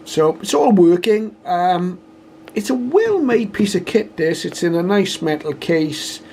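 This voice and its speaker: Man's higher-pitched voice over background noise. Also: expressive, measured pace, a little mellow. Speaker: radio hobbyist reviewer. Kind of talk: casual unboxing and first-look commentary. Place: conservatory, later a home radio room. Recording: clearly noisy home recording.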